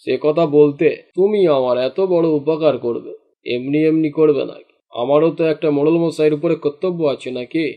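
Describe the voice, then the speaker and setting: A man's voice: fairly high pitch, fairly quick, narrow, tinny audio, lightly acted. A man voicing a jealous, greedy fisherman in a cartoon, grumbling and indignant in his delivery. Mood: spiteful, scheming. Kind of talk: cartoon dialogue acting.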